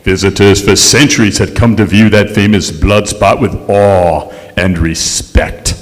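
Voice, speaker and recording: An actor playing a vain, indignant centuries-old ghost, narrating in a pompous, melodramatic old-time radio style. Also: male voice, on the low side, steady tone, a little slow, lightly acted. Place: live stage in a courthouse museum. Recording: echoey hall stage recording.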